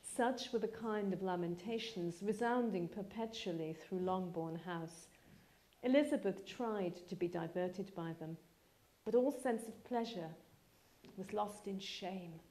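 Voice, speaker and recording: A woman giving a live dramatic reading of literary narration, measured and expressive. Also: mid-range voice. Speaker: actress reading a novel aloud.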